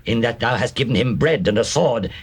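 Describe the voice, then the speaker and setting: An actor playing a wrathful, suspicious ancient king in a scripture audio drama, with an imperious manner and a husky voice. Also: mid-range voice, brisk, close to an everyday voice. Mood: accusing, menacing. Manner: imperious, commanding, archaic speech.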